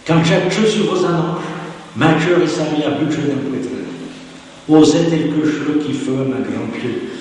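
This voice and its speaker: A man reading out the earnest love letter of a forty-year-old rustic farmer, voicing him a little higher than his own voice. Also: measured pace, darkish tone, a little hiss or hum, natural voice. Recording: echoey hall, distant mic.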